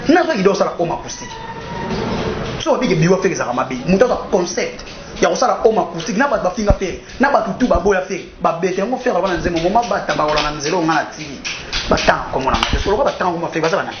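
A young Congolese man argues casually and forcefully, repeating his points. His high voice swings widely in pitch. The sound is roomy, in a casual interview setting. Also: animated, fast, muffled recording, a crowd in the background. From Democratic Republic of the Congo. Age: young adult. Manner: emphatic, repetitive, argumentative. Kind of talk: animated casual debate.

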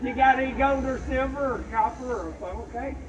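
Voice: sits high, brisk, lo-fi sound, close to an everyday voice. An actor playing a cheerful, dim-witted hillbilly train robber in a live comedy skit, folksy and prone to whooping. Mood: cheerfully pestering. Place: outdoor wooded train-ride show area. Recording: distant phone recording outdoors, loud crowd.

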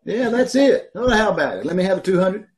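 A man's voice: high voice, very animated, brisk. A middle-aged man playing a shameless, cajoling brother-in-law in an old-time radio comedy. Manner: chuckling, cajoling. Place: indoors at home on a video call.

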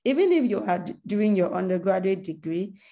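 A woman's voice: mid-range voice, lively intonation, brisk, dark tone, lo-fi sound. A woman hosting a webinar on a video call, thanking people and coaxing the audience in a grateful, chatty way. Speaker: webinar host. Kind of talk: closing remarks to a webinar.

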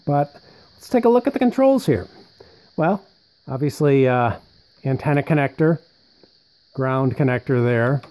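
Mellow-voiced man rambling through a casual demonstration. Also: medium pitch, expressive, measured pace, pauses often, poor audio. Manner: rambling, conversational, thinking aloud. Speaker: presenter showing vintage military radio equipment. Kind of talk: casual unscripted show-and-tell narration.

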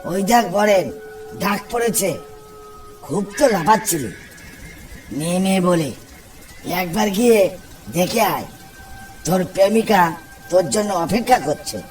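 Older village fisherman uncle played by a man, high voice, scolding and foreboding, cartoon horror-comedy, off-mic over music. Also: lively intonation, measured pace, long pauses, a little mellow. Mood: mocking. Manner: bossy, ominous warnings, teasing. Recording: distant mic under clear background music.

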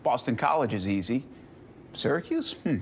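A middle-aged man co-hosting a studio sports show, chatting about the game with quick rhetorical questions. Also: medium pitch, expressive, slight background noise. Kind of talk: studio sports analysis chat. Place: TV news studio.

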